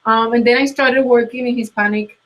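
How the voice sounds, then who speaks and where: Woman, Puerto Rican accent, Spanish native speaker, fairly high voice, earnest interview guest, lots of ums. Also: fairly even intonation, a little fast, a bit bright, rough recording. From Puerto Rico. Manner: earnest, frequent ums and restarts. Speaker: guest coach. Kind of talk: interview conversation. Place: New York.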